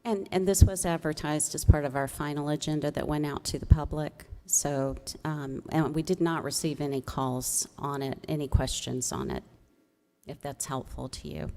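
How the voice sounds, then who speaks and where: Low-pitched woman at a public meeting. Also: steady tone, normal speed, mellow. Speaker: city staff presenting cases to a review commission. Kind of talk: informal public meeting talk.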